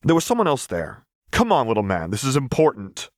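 A man's voice: mid-range voice, huge pitch swings, brisk, no echo, clean studio sound, pitched above the performer's own voice. A man voicing a tough, hot-headed young woman in an audiobook, blunt and heated. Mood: angry, insistent. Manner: blunt, spitting, insistent.

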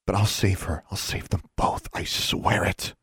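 A man's voice: sits low, fairly even intonation, a little slow, vocal fry, a bit bright, slightly rough, dry recording, clean studio sound, pitched above the performer's own voice, heavily acted. A man voicing a determined, urgent young girl heroine in an audiobook, earnest and insistent. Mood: pleading, resolute. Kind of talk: audiobook character voice.

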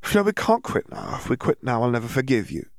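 A man's voice: mid-range voice, sing-song, a little fast, no echo, voice pushed up. A man voicing a small, stubborn girl who rambles in a dreamy, giddy, insistent way in a storybook reading. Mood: defiant.

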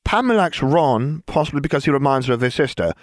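A man voicing a brisk teenage girl in a storybook reading, rattling off gossip in a matter-of-fact way. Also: medium pitch, animated, no room sound, clean studio sound, voice pushed up, lightly acted.

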